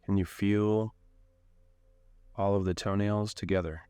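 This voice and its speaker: A man leading a guided meditation in a calm, unhurried way, giving gentle instructions with long pauses. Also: lowish voice, clean tone, no echo.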